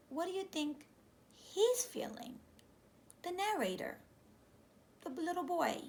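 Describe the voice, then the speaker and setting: A woman in her thirties reading a children's storybook aloud like a teacher, expressive and addressing the children with questions. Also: high-pitched, a little hiss or hum. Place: small furnished room indoors, seated on sofa.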